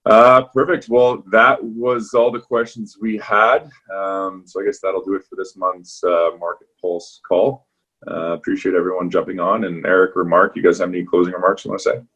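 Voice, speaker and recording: A man's voice: deep voice, flat delivery, normal speed, a bit bright, breathy edge, muffled recording. A man hosting a semi-formal investment conference call. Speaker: portfolio manager hosting the call.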